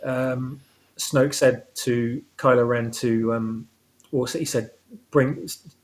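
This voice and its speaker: A man's voice: mid-range voice, steady tone, takes it slowly. A man talking casually as an interview guest, explaining his own story and rephrasing as he goes. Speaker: guest, audio drama writer.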